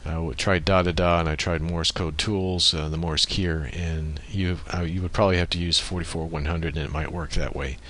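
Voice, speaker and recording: A man giving an informal software tutorial, explaining methodically and thinking aloud as he works through the steps. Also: low voice, fairly even intonation, normal speed, few pauses. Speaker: tutorial presenter. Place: indoors at a computer, voice-over on screen recording.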